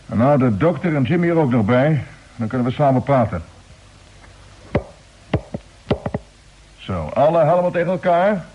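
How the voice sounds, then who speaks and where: A man acting a steady spaceship fleet commander in an old radio drama, speaking in a brisk, authoritative way. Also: mid-range voice, slight background noise, natural voice. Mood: calm, commanding. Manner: brisk, authoritative, giving orders. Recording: muffled vintage radio drama recording.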